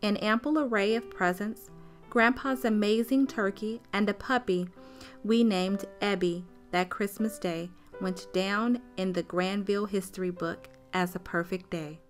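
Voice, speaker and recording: A woman's voice: mid-range voice, fairly even intonation, a little slow, faint music behind, natural voice. A woman playing a storyteller fondly looking back on childhood in a radio play. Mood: nostalgic. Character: grown woman fondly recalling her childhood. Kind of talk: scripted storytelling narration.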